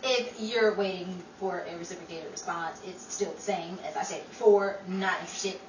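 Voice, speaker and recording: A woman's voice: fairly low pitch, fairly even intonation, moderate pace, lightly acted. A woman playing a quippy, sarcastic young New York woman in a 1930s radio comedy, bantering in wordplay. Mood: wry. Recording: roomy, distant mic, noisy live audience room.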